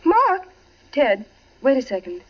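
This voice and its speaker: An actress playing a poised, evasive suburban wife in 1940s radio acting style. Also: female voice, sits high, huge pitch swings, slow, heavily acted. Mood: pleading. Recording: dull vintage radio, hiss and crackle.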